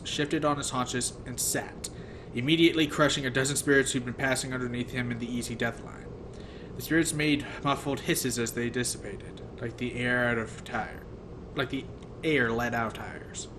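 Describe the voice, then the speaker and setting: A man's voice: medium pitch, fairly bright tone. A man reading a first-person novel aloud as narrator, sometimes stumbling and rereading phrases. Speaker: audiobook reader. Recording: noticeable background noise.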